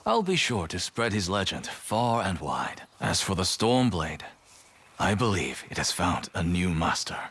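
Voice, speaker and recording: A man's voice: sits low, sing-song, a little slow, fairly bright tone, slightly rough, exaggerated voice. A man playing a thoughtful traveller and keeper of tales in a video game, with reflective, storytelling phrasing. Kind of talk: video game dialogue acting.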